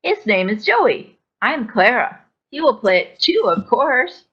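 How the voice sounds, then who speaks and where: Sinister-sweet clown: squeaky-high, slow and childlike, slightly dull lo-fi sound. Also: female voice, very animated, husky, full character voice. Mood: playful, instructing. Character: sweetly sinister, playful female clown Clara. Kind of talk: audio drama acting. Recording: dull, narrow lo-fi microphone sound.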